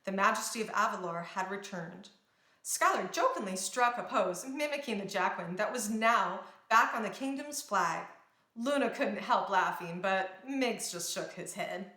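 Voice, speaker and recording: A woman's voice: mid-range voice, expressive, normal speed, pushed and bright. A woman narrating a children's storybook aloud, reading expressively and carefully. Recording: roomy indoor echo.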